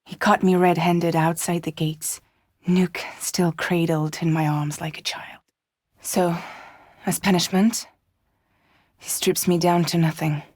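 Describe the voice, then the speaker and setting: An actress playing a guarded, wry woman courier in a post-apocalyptic video game, with soft, breathy, measured and deadpan delivery. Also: fairly low pitch, no room sound, studio quality. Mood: grim recounting. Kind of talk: cinematic video game acting.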